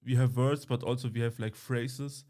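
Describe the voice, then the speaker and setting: A man hosting a casual interview, asking questions in simple, halting English. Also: medium pitch, steady tone, warm tone. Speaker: podcast host, interviewer.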